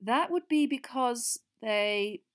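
A woman voicing a calm, gentle middle-aged male professor who speaks in a measured way. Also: on the high side.

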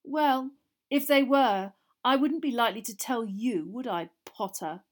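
A woman's voice: on the high side, measured pace, lightly acted. A woman reading aloud and voicing a sneering teenage schoolboy wizard with a lazy drawl.

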